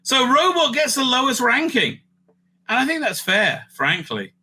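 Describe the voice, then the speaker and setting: A man's voice: very high voice, wildly swooping pitch, unhurried, pushed and bright, slightly rough. A man hosting a casual, off-the-cuff ranking video, rambling and interrupting himself as he thinks aloud. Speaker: video host ranking TV stories.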